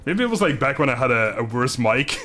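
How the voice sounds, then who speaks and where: A man's voice: medium pitch, big pitch swings, moderate pace, pushed and bright, soft background music. A young man from the Netherlands with a Dutch accent, chatting casually on a live stream, laughing often and stumbling over his words.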